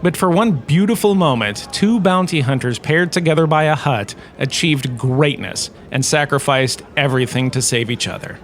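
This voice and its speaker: A man playing a self-regarding, jokey professor giving a scripted comedic lecture with theatrical storytelling pauses. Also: a little high, lively intonation. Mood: earnest storytelling. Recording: clearly noisy background ambience.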